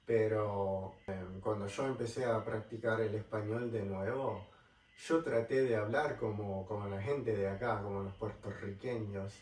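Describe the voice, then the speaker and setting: A young man from California speaking with an Argentine accent in a casual vlog, halting and searching for words, recorded in a rental apartment. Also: fairly low pitch, steady tone, darkish tone. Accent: Argentine Spanish. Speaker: travel vlogger. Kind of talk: casual talk to camera. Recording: roomy, distant mic, faint ambience.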